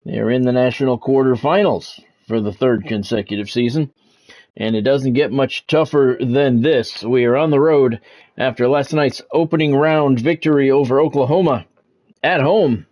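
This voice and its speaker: A man hosting a game livestream, talking sports to his viewers in measured, announcer-like phrases. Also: mid-range voice, a little mellow. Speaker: game streamer and sports commentator, former radio play-by-play announcer. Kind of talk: livestream monologue, sports talk to chat viewers.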